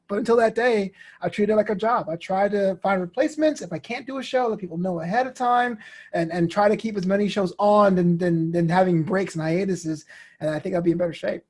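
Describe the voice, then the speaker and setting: A man speaking as a guest in a casual interview, with a husky voice and a storytelling manner, acting out imagined quotes as he goes. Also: sits high. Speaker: interview guest, actor and podcast host.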